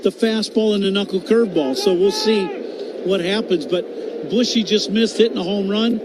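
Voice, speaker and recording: A high-voiced American man breaks down baseball plays as a color commentator. He talks conversationally, with clear ballpark noise behind him. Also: moderate pace. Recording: clearly audible stadium ambience noise. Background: ballpark ambience.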